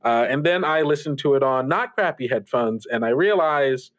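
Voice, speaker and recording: A man hosting a podcast, chatting casually and directly to listeners in a rambling, halting, self-deprecating way. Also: mid-range voice, expressive, no room sound, clean studio sound. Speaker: podcast host and creator. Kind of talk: casual radio-DJ style host chat to listeners.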